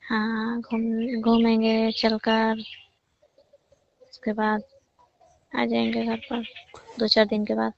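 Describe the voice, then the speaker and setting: A woman's voice: completely flat delivery, slow pace, vocal fry, long pauses. A young woman, chatting casually and privately over the phone. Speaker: caller. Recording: muffled low-fidelity phone call recording.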